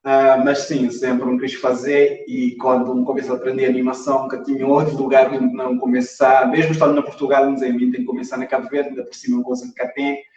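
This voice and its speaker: A man's voice: mid-range voice, level tone, quick pace, hardly any pauses, a little mellow. A man giving casual, conversational answers as an interview guest. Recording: muffled, roomy, distant mic on stream.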